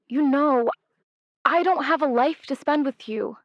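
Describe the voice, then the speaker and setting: A woman's voice: high voice, no echo. A woman playing a flighty, apologetic, affectionate ghost in an audio drama, speaking hesitantly as a caller to an advice show over a lo-fi phone line. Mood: anxious, vulnerable. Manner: hesitant, halting.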